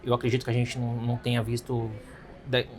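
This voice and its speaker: A man's voice: mid-range voice, completely flat delivery, fast. A Brazilian man hosting a casual interview, asking long, winding questions and affirming often. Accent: Brazilian Portuguese. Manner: rambling questions with frequent affirmations. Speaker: interviewer, host.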